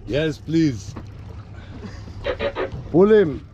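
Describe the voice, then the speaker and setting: An older man filming a fishing trip on an open boat, giving excited running commentary and coaching with repeated short calls. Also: a little high, extremely slow, lo-fi sound. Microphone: action camera on board. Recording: noisy boat and sea wind.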